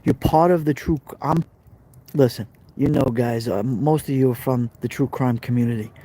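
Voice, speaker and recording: Brooklyn-accented man, middle-aged, dark mellow tone, casual live stream host, repeats phrases. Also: mid-range voice, normal speed, low-quality recording. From Brooklyn, New York. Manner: chatty, repeats phrases, talks straight to viewers. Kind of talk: casual live stream chat reading comments.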